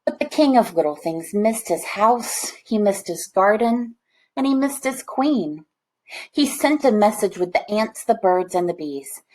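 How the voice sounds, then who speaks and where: Female storybook reader, unhurried, expressive, mid-pitched, chatting to young listeners between passages. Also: rough recording. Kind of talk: animated read-aloud of a children's picture book with chatty asides.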